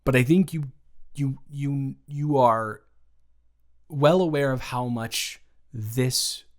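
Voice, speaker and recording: A man speaking in an improvised roleplay audio, his voice sitting in a middling pitch. He talks slowly and chooses his words with care, pausing often. His tone stays soft and reassuring throughout. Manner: slow, careful, measured speech with pauses.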